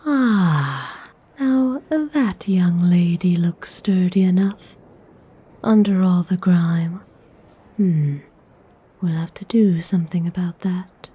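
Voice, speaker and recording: A woman acts out a noble lady vampire for an ASMR roleplay, haughty but benevolent. Her voice is very mellow and soft, heavy with breath and half whispered. She speaks slowly and formally, in a slightly low register, with cool refinement. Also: slightly rough, heavily acted. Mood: appraising. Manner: unhurried, formal, coolly refined. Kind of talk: ASMR audio roleplay acting.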